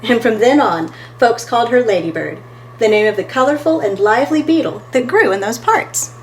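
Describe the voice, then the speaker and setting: A woman's voice: on the high side, animated, normal speed, a little hiss or hum, low-quality recording. A woman reading a picture book aloud as a storytime host, expressively and talking to her listeners between pages.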